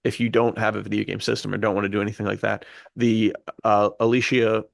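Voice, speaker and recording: A man's voice: lowish voice, little pitch movement, fast talker, vocal fry, no echo, clean studio sound. A man co-hosting a podcast, casually and enthusiastically recommending things. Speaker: podcast co-host. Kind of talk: casual pop-culture podcast chat.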